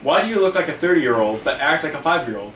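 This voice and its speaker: Guy off camera reading fan questions fast, deadpan, echoey room with some hiss. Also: a little high. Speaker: interviewer. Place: small storeroom indoors. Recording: echoey room, distant mic, audible hiss.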